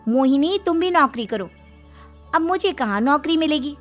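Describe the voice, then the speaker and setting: A woman's voice: sits high, lively intonation, moderate pace, fairly bright tone, soft background music. A woman playing a distressed young married daughter who complains plaintively in a moral story. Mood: worried, complaining. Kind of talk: moral story dialogue acting.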